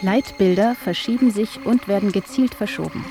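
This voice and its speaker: A woman's voice: mid-range voice, moderate pace. Female feature narrator over music. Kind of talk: scripted documentary narration.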